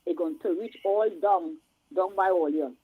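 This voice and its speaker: A woman's voice: lowish voice, normal speed, slightly rough, no echo. A Trinidadian woman calling in to a radio show, talking in an emphatic, chatty way with rhetorical asides. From Trinidad and Tobago. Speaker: caller. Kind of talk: casual call-in chat. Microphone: phone. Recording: tinny phone line.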